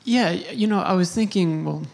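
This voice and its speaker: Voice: mid-range voice, a little fast, gravelly, darkish tone. An interviewer chatting casually and asking questions in an informal, conversational way.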